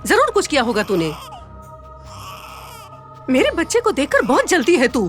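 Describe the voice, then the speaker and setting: A woman's voice: high-pitched, big pitch swings, a little fast, fairly bright tone, faint music behind. A woman voicing a cruel cartoon stepmother who scolds shrilly and dotes on her own son. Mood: angry. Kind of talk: cartoon voice acting.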